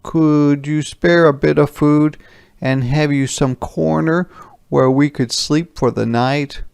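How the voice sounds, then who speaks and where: A man voicing hungry, weary but hopeful soldiers in a storybook folktale, speaking slowly and emphatically. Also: a little high, fairly even intonation, creaky, darkish tone, clean tone, pitched above the performer's own voice. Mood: polite pleading.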